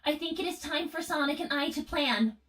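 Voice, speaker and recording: A woman playing a gentle robot girl new to being a person, speaking in stilted, precise, formal phrases. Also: sits high, brisk. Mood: calm, earnest.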